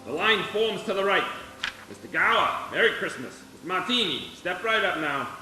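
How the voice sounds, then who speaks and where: A man playing a brisk, cheerful bearer of good news in a live radio play, proclaiming in clipped phrases. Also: sits high, big pitch swings, music in the background. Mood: brisk, jovial. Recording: very echoey stage.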